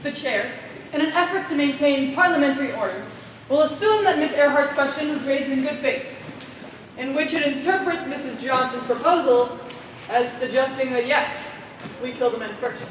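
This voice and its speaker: A woman's voice: sits high, moderate pace, close to an everyday voice. A woman playing a stern, officious president chairing a meeting in a formal, pompous manner onstage. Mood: officious, measured. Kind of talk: stage play acting. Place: theater stage. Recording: distant, reverberant theater with clear noise.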